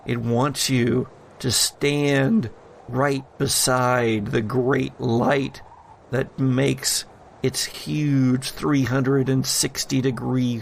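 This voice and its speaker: A male game master narrates a tabletop horror game at a slow, measured pace. His voice has a marked creak and he leaves deliberate, dramatic pauses. There is faint noise behind him. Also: mid-range voice.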